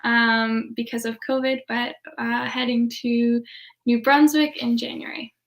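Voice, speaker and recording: A young woman speaks on a video-call panel in a high, flat voice. She talks in a steady, matter-of-fact way. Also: measured pace. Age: young adult. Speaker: panelist, university student.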